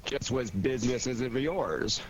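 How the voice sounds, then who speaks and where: A man's voice: medium pitch, normal speed, muffled recording, noisy background, lightly acted. A man playing a detached, philosophical murder suspect in a radio drama, dry and guarded. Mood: cool, guarded. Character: cool, aloof, philosophical male murder suspect.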